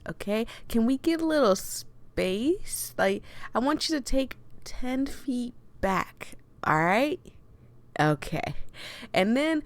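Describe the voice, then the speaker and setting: Playful chatty woman gamer. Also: on the high side. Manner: playful, chatty, expressive reactions. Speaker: host playing a game for viewers. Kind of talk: casual playthrough commentary.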